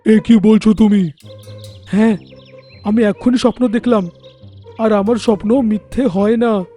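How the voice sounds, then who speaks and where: A man's voice: high-pitched, fairly even intonation, a little slow, dark tone, soft background music. A man voicing earnest, declamatory talking jungle animals in a cartoon moral fable. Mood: urgent, earnest. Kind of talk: cartoon dialogue acting.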